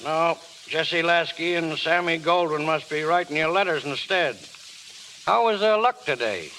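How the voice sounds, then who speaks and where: An actor playing a brusque, sardonic rooming-house clerk in a 1930s radio drama. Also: male voice, fairly high pitch, measured pace, bright, forceful tone. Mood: wry, needling. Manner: curt, sardonic. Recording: faintly noisy vintage radio broadcast.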